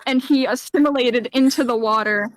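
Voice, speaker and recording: A woman's voice: a little high, moderate pace, no room sound, rough recording. A woman doing a jokey voice-over as a pretend emergency doctor, with mock-serious patter. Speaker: host voicing over a doctor clip. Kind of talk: comedic voice-over riff.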